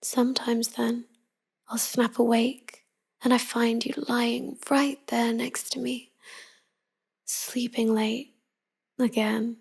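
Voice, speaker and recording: A woman acts out a devoted vampire wife murmuring to her human lover at night in a roleplay audio. Her voice is soft and breathy, often dropping into a whisper, with a creaky edge. She speaks slowly and confidingly, tender and full of melancholy. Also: fairly high pitch, little pitch movement, exaggerated voice. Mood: fond, haunted. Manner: slow, hushed, confiding. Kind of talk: intimate whispered pillow-talk roleplay audio.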